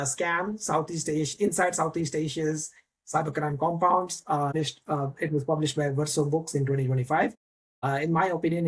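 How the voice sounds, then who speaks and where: Higher-pitched man hosting a podcast. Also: husky, low-quality recording. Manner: hesitant, frequent fillers and restarts. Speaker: podcast host. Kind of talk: conversational interview intro.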